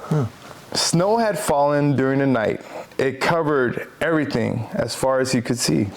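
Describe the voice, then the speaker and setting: A man's voice: medium pitch, expressive, unhurried, vocal fry. An American man from Philadelphia co-hosting a children's read-aloud, enthusiastic and reassuring, repeating phrases in chatty asides. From Philadelphia, United States. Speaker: co-host reading a children's picture book.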